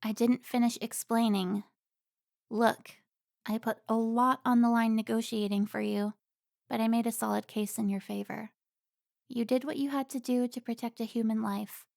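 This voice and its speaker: A woman's voice: a little high, level tone, moderate pace, creaky, a little mellow, dry recording, clean studio sound. A woman playing a stern, protective member of a vampire slayer guild in a cinematic audio roleplay, commanding and scolding.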